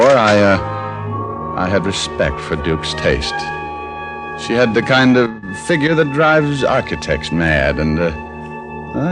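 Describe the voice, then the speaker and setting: Casting: male actor for sardonic American gumshoe, very low voice, lively inflection, vintage radio drama with piano behind. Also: close to an everyday voice. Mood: appreciative narration. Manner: sardonic, dry banter. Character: wry, wisecracking male private detective. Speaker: detective and first-person narrator. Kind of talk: old-time radio detective drama. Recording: old radio broadcast recording.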